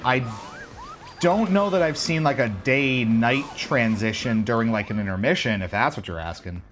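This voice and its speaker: A man giving casual, joking commentary while he plays a racing game on a livestream, reacting out loud to every turn. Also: mid-range voice, moderate pace, faint music behind. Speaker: livestream gamer.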